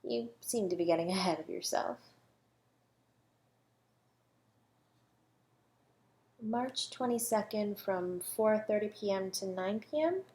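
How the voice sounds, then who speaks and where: A woman acts out a self-assured female academic suspected of murder in an intimate audio roleplay. She is coy and teasing, speaking with measured composure. Her manner is condescending and flirtatious, as if she is always a step ahead of the detective. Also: mid-range voice, warm tone, a little hiss or hum, natural voice. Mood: cool, condescending. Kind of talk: flirty audio roleplay, one-sided dialogue.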